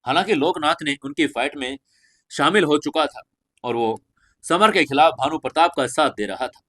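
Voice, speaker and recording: A man's voice: on the high side, moderate pace, a bit bright. Man narrating a dramatic fantasy story.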